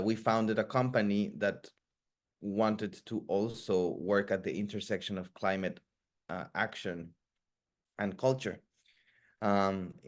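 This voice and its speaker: A man giving an informal talk as a guest speaker, reflective and hesitant, often pausing and repeating words. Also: lowish voice. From Ecuador. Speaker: guest speaker, music producer. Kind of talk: informal community talk presentation.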